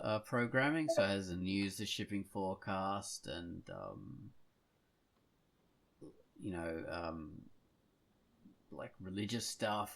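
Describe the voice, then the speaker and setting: A man with a low, creaky voice hosts a casual podcast. He rambles along conversationally, thinking out loud as he talks. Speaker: podcast host.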